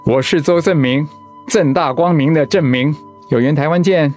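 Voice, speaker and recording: An actor with a Taiwanese accent playing a gentle, ailing pastor and husband in a radio drama, earnest and reflective. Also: male voice, fairly high pitch, normal speed, faint music behind, natural voice. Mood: earnest, youthful. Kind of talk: Mandarin radio drama acting.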